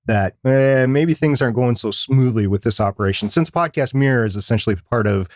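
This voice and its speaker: Man, medium pitch, dry close sound, casual podcast co-host, conversational. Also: fairly quick, no room sound.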